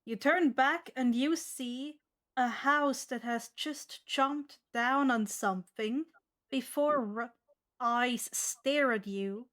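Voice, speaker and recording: A woman's voice: high voice, slow pace, a bit bright. A woman narrating a tabletop fantasy game as the game master.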